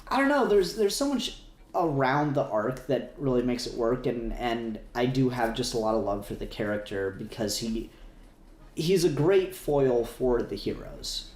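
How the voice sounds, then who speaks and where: A man's voice: mid-range voice, a little mellow. A man chatting casually as a podcast co-host in a fan discussion, rambling with emphatic enthusiasm. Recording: roomy echo, music behind.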